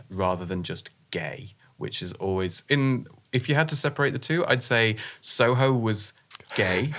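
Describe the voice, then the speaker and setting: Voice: deep voice, gravelly, a bit bright. A podcast guest in casual conversation, frank and opinionated, explaining things at length.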